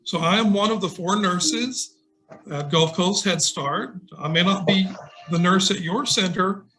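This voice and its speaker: A man's voice: high voice, unhurried, pushed and bright. A middle-aged man, a nurse, reading a picture book to young children and putting simple questions to them. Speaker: nurse and guest storybook reader. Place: indoors on a video call. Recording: noisy video call with clicks.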